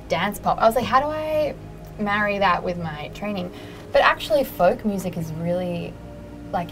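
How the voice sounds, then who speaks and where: A woman's voice: medium pitch, creaky, low-quality recording. A young woman, chatting in an interview about her creative work, chatty and self-deprecating, with many fillers. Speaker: interviewee, musician and writer. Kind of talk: casual interview answers. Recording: music playing behind.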